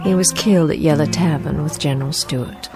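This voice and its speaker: A low-voiced, wistful Southern war widow. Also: female voice, brisk, over music. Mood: wistful, warm. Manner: gracious, reflective. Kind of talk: radio drama acting.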